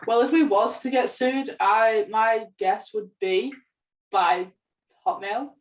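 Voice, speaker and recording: A woman's voice: a little high, slow pace, distant mic, low-quality recording. A young woman co-hosting a podcast, chatting casually and explaining things in a plain-spoken way. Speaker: podcast co-host. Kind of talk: casual chat.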